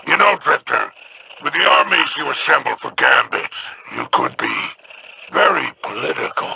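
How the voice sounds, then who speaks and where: A gruff alien crime lord for a video game. The voice is harshly raspy and full of growl, with a forceful edge. He drawls with greedy, scheming mockery. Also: medium pitch, wildly swooping pitch, normal speed, no room sound, exaggerated voice, poor audio. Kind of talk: video game character dialogue.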